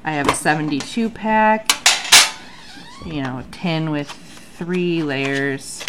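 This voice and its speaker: Low-voiced nasal woman, chatty journal vlog. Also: expressive, slow, heavy background noise. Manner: chatty, with frequent ums. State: nasal from a sinus infection. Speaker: bullet journal vlogger. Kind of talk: casual chat. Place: home desk under an overhead light.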